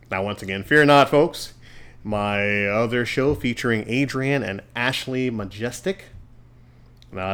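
A man hosting his own solo podcast from a studio, talking in an earnest, encouraging way with a radio-DJ delivery. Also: medium pitch. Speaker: podcast host. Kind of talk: casual solo podcast monologue. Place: home studio.

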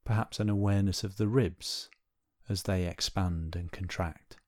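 A man with a lowish voice leads a guided meditation. He gives gentle, unhurried instructions and leaves space between phrases. The sound is very dry and close, with hardly any room around it. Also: steady tone, no room sound. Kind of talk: guided body scan meditation.

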